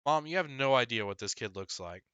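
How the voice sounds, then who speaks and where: Guy doing a reluctant grumbly schoolkid for an audiobook, emphatic, clear bright voice. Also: medium pitch, lively intonation, brisk, no echo, muffled recording, pitched above the performer's own voice. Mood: exasperated. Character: opinionated, reluctant middle-school boy arguing with mother.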